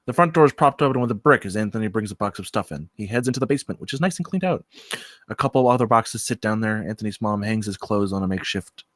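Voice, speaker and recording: A man's voice: on the low side, expressive, fast, a bit bright, dry recording. A man reading screenplay stage directions aloud as scripted narration.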